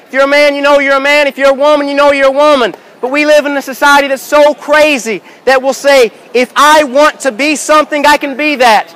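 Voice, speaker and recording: A man in his thirties preaching outdoors in a busy city square, shouting with emphatic, repetitive rhetorical questions. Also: extremely high pitch, steady tone, fairly quick, hardly any pauses, low-quality recording. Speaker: street preacher. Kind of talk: open-air sermon. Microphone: far handheld camera, no mic.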